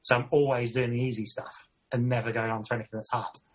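A man's voice: mid-range voice, level tone, fast. A man chatting casually, reflective and self-deprecating about his habits. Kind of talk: casual chat.

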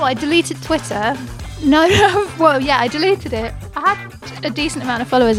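A woman comedian giving casual, giggly, self-deprecating answers as a guest. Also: fairly high pitch. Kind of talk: casual answers to quick questions. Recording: music bed under the voice. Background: music.

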